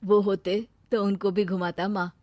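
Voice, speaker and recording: A woman's voice: mid-range voice, little pitch movement, normal speed, darkish tone, no echo, natural voice. A woman playing an earnest young village husband and dutiful son in a cartoon. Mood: cheerful.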